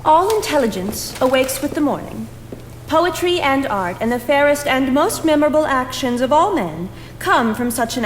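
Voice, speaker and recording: Prim young visitor: very lively high voice, haughty and formal, stage drama. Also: female voice. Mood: reading aloud. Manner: haughty, formal, crisply disapproving. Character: prim, judgmental, outspoken young woman visitor. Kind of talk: stage play acting. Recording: echoey theatre stage with background noise.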